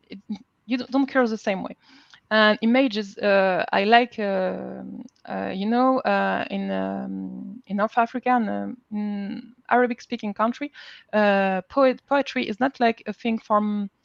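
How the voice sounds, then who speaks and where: A woman with a French accent talking informally as a panel guest, haltingly searching for words. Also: mid-range voice, steady tone, gravelly, dry recording, dull audio. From France. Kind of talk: informal live panel talk.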